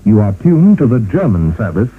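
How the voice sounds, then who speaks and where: A man's voice: medium pitch, big pitch swings, moderate pace. A man working as a station announcer, reading a formal radio station identification. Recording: dull, noisy shortwave radio recording.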